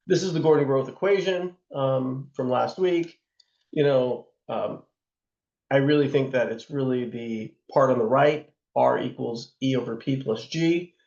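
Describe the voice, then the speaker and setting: A hoarse man teaching a class, his voice gravelly and scratchy from a sore throat. He talks loosely and conversationally, dotted with fillers. The sound is roomy and a little dull. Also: mid-range voice, a little mellow. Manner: loose and conversational, many fillers and tag questions. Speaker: instructor. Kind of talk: lecture on investing.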